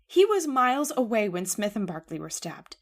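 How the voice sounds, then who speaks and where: A woman's voice: mid-range voice, very animated, moderate pace. Radio drama: anxious young woman played by a woman, earnest, emotional. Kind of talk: old-time radio drama acting.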